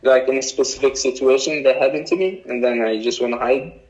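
A young man with an Arabic accent, a native Arabic speaker, answering interview questions in a halting way with many fillers. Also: medium pitch, darkish tone. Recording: dull lo-fi recording.

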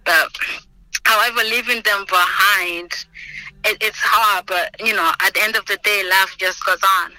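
A young woman, her voice very bright and tense. She speaks earnestly and thoughtfully, often pausing on "um" and restarting. The sound is dry and close over a video call. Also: medium pitch, steady tone, no room sound, poor audio. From Senegal. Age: 20s. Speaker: interviewee, student. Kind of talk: oral history interview. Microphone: remote video call.

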